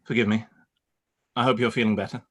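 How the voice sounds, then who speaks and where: A proud Regency gentleman, acted by a man in a radio play. The voice sits fairly low, with formal, haughty phrasing and a stiff but ardent temper. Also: steady tone, brisk. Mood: stiffly polite. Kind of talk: radio play live reading.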